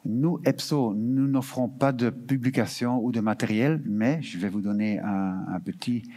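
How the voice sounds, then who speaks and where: A man's voice: medium pitch, a little slow, warm tone. A man representing an EU recruitment office, answering audience questions at an information session, hesitant with many fillers and restarts, sometimes slipping into English terms. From Belgium.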